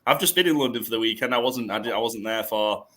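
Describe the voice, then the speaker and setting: A slightly hoarse man hosting a casual podcast draft, rambling through restarts and fillers. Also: on the low side, fast, bright, forceful tone, slight background noise. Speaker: podcast host.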